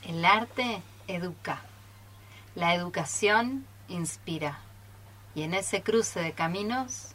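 A woman's voice: lowish voice, slow, fairly bright tone. A woman playing a solemn, nostalgic narrator in a radio play.

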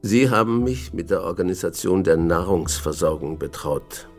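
A man playing a defiant, principled committee member in a radio drama, gruff, dry and gravelly. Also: sits low, expressive, normal speed, faint music behind. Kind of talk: German radio drama acting.

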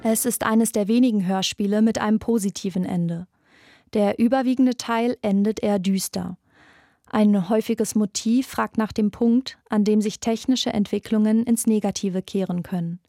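A woman presents a podcast in scripted documentary narration. Her pitch is medium and the recording is very dry. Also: normal speed, warm tone, dry recording, faint music behind.

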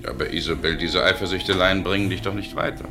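An actor playing a suave, urbane stage and film star in a German radio crime drama, lightly playful and obliging. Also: male voice, sits low, fairly even intonation, fast, over music. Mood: smooth, conversational.

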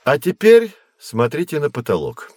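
An actor playing a learned, patient astronomy professor who explains things in a measured, didactic way in an educational audio drama. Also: male voice, on the high side, huge pitch swings, darkish tone, dry recording, studio quality. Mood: patient explaining. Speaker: teacher.

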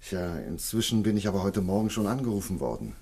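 An actor playing a polite, earnest scientist in a German radio play, speaking in a measured, slightly hesitant, courteous way. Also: male voice, deep voice, big pitch swings, warm tone, husky, exaggerated voice. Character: polite earnest male scientist, doctor and government investigator.

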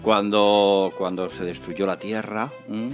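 A man's voice: on the low side, little pitch movement, slow pace. A man with an accent from Spain, co-hosting and reading on a radio show in a colloquial, jokey way. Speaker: radio show co-host and reader. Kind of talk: casual scripted-radio chat, then novel reading. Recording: music behind the voice. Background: music.

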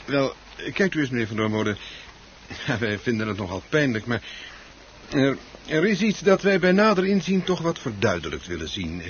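A man acting a politely evasive club chairman in a radio play. He hems and hesitates with formal apologies, his pitch rising and sinking in a very animated way. The recording is old and muffled. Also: moderate pace, natural voice. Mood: awkward, evasive. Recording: dull, slightly noisy old radio recording.

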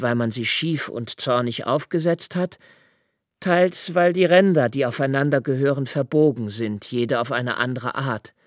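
A brooding lodger voiced by a man delivers a literary radio monologue in a medium-pitched voice. He is obsessively observant and precise, weighing phrases and halting to correct himself. The sound is very dry, with no room around the voice. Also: no room sound, natural voice. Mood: agitated, insistent. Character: brooding, obsessively observant solitary male lodger, musing alone.

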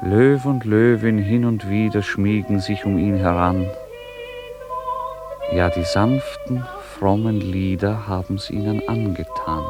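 A man narrating a literary radio drama in a measured, formal way. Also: on the low side, steady tone. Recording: music behind the voice. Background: music.

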